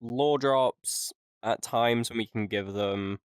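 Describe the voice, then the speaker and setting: A man's voice: medium pitch, slow, clean tone, dry recording, clean studio sound. A man co-hosting a casual podcast, joking and rambling with frequent laughs. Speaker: podcast co-host. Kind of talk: casual chat.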